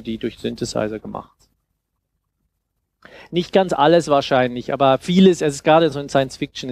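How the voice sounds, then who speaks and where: A man in his thirties giving an informal, explanatory workshop talk into a headset mic, often halting and repeating words. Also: mid-range voice, gravelly, a little mellow. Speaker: speaker giving a talk on sound design.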